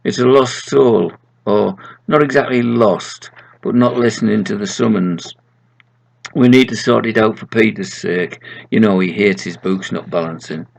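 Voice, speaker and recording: A man's voice: fairly low pitch, a little slow, vocal fry, poor audio. A man voicing a businesslike male boss from heaven handing out a job in a story.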